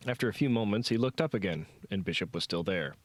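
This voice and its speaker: Male audiobook narrator, lowish pitch, scripted fiction reading, dry sound. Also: animated, fast talker, dry recording.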